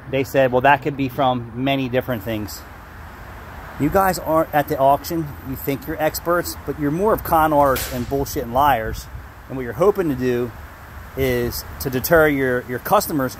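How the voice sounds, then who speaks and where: A man's voice: medium pitch, a little hiss or hum. A man in his fifties, a car shop owner, ranting bluntly and swearing into a phone held at arm's length outdoors. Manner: angry, blunt, swears and rambles. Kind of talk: ranting vlog to camera. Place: outdoors beside a building.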